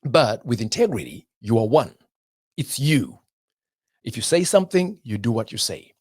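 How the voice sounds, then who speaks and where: A man from Zimbabwe giving a motivational talk in an emphatic way, repeating phrases and pausing at length. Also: medium pitch, sing-song, breathy edge, no room sound, studio quality. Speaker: motivational speaker.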